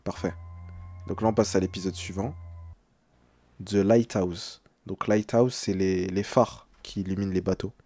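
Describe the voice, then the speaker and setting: A man's voice: sits low, steady tone, unhurried, warm tone, no room sound. A young man speaking as a streamer in casual live reaction, translating text aloud with slangy, hesitant, uneasy commentary.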